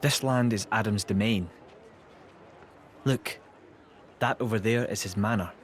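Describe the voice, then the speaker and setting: A man playing a calm, reasonable companion who explains things in a video game cutscene. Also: on the low side, unhurried, slight background noise, lightly acted. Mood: calm, matter-of-fact.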